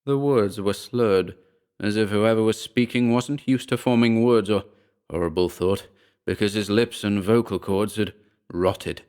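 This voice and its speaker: A man narrating a scripted horror story in a measured, dramatic storytelling manner. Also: lowish voice, darkish tone, clear, resonant tone.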